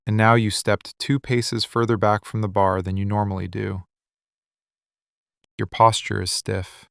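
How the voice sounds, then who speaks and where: A man playing a possessive, menacing mafia boss in a boyfriend ASMR roleplay, speaking in a controlled, deliberate, quietly commanding way. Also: lowish voice, creaky, no echo, studio quality. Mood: tense, commanding. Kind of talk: boyfriend ASMR roleplay, scripted dramatic monologue.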